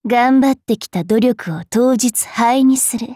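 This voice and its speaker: A woman playing a haughty, cruel young fairy priestess in an anime game, sneering and taunting with a rough mouth. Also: fairly high pitch, measured pace, mellow, no echo, clean studio sound. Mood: menacing scorn.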